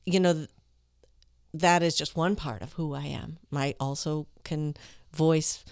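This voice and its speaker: A woman with a low, smooth, resonant voice that carries quiet authority, telling stories as a guest in an interview. She lively acts out the quotes in her anecdotes, and her voice sounds dry and close to the mic. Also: unhurried, no room sound. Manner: animated, tells stories with quoted dialogue. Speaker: voice actor guest. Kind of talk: conversational interview storytelling.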